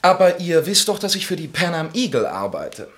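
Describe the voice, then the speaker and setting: An actor in a German radio play voicing a breezy, boastful film writer, chatty and exuberant. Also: male voice, on the high side, sing-song, normal speed, a bit bright. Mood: self-important. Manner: chatty, exuberant, laughing, name-dropping.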